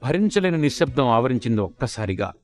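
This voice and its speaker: A man's voice: medium pitch, very animated, quick pace, darkish tone. A man narrating a scripted comedy story as its storyteller.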